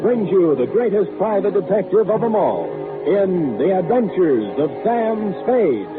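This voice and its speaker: Animated old-time radio announcer man. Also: sits high, a little slow. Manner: formal, declamatory. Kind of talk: 1950s scripted network radio announcing. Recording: tinny old radio broadcast, faint music.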